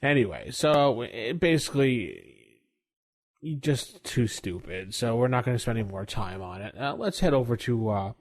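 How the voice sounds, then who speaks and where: A man's voice: medium pitch, expressive, normal speed. A man hosting a gaming podcast, laid-back and steering the show to the next segment. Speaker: podcast host.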